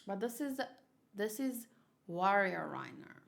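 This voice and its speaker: A woman giving casual reaction commentary as she reads a story aloud, blunt and quick to swear. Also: mid-range voice, big pitch swings, creaky. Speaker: manga read-along host.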